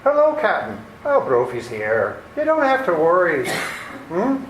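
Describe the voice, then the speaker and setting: A man's voice: a little high, huge pitch swings, a little slow, a bit bright, heavily acted. A man playing a brash, exasperated police lieutenant in an amateur radio play. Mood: bossy. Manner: brash, incredulous. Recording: echoey room with faint noise.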